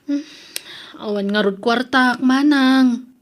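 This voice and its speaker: Evasive, resentful married migrant worker, high female voice, Ilocano accent, audio drama, acted by a woman. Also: takes it slowly. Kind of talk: Ilocano radio-style family drama acting.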